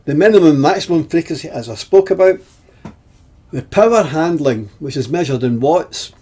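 A man in his seventies giving an explanatory technical lesson in a deliberate, halting way, with pauses between phrases and a husky voice. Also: fairly high pitch, expressive, mellow. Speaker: presenter of an instructional video.

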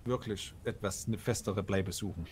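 A man's voice: lowish voice, normal speed, darkish tone, slight background noise. A man chatting in character in a survival role-play game, reasoning things through in a reassuring way.

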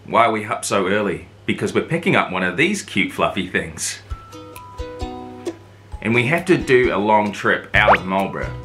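A man's voice: lowish voice, normal speed. A man in his thirties filming an upbeat travel vlog at arm's length outdoors, with music underneath. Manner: upbeat, excitable, talks to camera and dog. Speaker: vlogger. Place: outdoors, fields and roadside. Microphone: camera held at arm's length.

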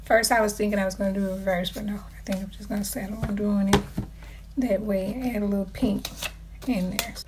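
A woman, hoarse from losing her voice, talking softly through a casual makeup tutorial in a small room, close to the camera. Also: mid-range voice, steady tone, brisk, noisy background. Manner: soft-spoken, drops to a near whisper. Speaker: beauty vlogger. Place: small dark room indoors. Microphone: camera close to the face.

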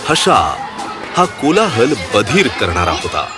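A man's voice: mid-range voice, huge pitch swings, slow pace, a crowd in the background. A man narrating a scripted children's fairy tale as a storyteller. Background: background music.

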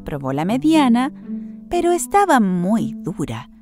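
A woman narrating a scripted children's story in an expressive storytelling manner. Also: fairly high pitch, a little slow, over music.

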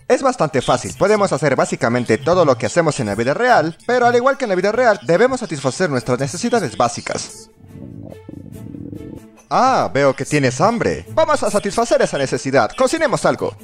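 Animated, high-pitched sarcastic man narrating. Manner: sarcastic, exaggerated, exclamatory. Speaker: fandub narrator. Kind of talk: scripted comedic parody narration. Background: music.

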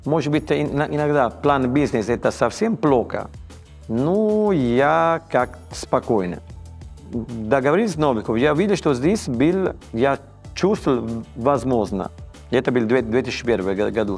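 A man in his fifties speaks Russian with a strong Italian accent, his voice rough with creak. He stumbles and restarts words as he hunts for the right phrase, in a quiet studio interview. Faint music sits under the talk. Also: mid-range voice, expressive. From Italy. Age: 50s. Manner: halting, frequent restarts and stammers, searching for words. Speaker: chef, interview guest. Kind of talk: casual interview conversation. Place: dark indoor studio set, seated in armchair.

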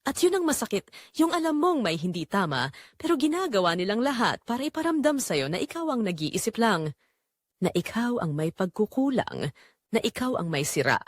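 A woman's voice: on the high side, big pitch swings, normal speed, dry recording, studio quality. A woman playing an anguished, betrayed wife who narrates her story in an emotive, confiding way for an audio drama. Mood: anguished, foreboding. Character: Rosa, anguished betrayed wife, doubting her own sanity. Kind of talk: dramatic first-person scripted narration.

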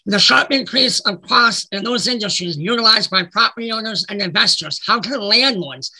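A man's voice: high-pitched, moderate pace, sharp tone, breathy edge, poor audio. A middle-aged American man with a cold and a speech impediment, reading formal prepared testimony over a video call. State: has a cold. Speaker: witness giving testimony. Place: indoor room, on a video call.

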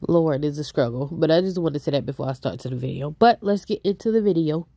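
A woman hosting a casual celebrity gossip channel, talking fast with a stuffy nose and a bit out of breath. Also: low-pitched, warm tone, dry recording, studio quality. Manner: chatty, fast, reads out posts. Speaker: YouTube host.

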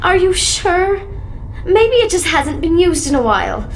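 A woman's voice: extremely high pitch, sing-song, unhurried, a little hiss or hum, exaggerated voice. A woman playing a frightened but determined young woman in a radio drama, anxious, pleading and earnest. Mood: anxious, hushed. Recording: echoey reverberant radio drama, music underneath.

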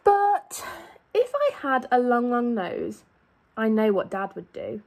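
A woman's voice: fairly high pitch, sing-song, slow pace, a little mellow. A young woman reading a children's storybook aloud as a teacher, expressively, drawing out key words for emphasis. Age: young adult. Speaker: primary school teacher.

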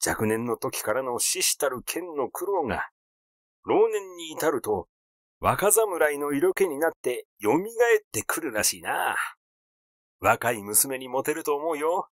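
A man voicing a rash, glib, excitable schemer in an audiobook, talking in a blunt, teasing, effusive way. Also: on the high side, unhurried, dry recording, clean studio sound. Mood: glib, eager. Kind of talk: literary audiobook character dialogue.

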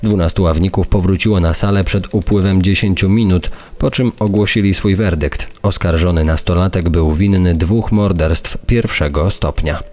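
A man with a low voice narrates a true-crime account. He reads from a prepared script. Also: moderate pace, a little mellow.

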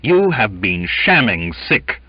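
A man playing a stern ship's captain in a storybook, giving severe, sharp and sarcastic orders. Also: on the low side, big pitch swings, slow, slightly rough, no room sound, muffled recording, full character voice.

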